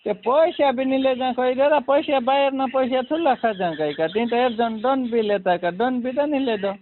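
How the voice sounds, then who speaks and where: A man with a creaky voice talking casually on a phone call in a rambling, repetitive way. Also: very high voice, fairly quick. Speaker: prank call participant. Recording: narrow phone line with faint noise.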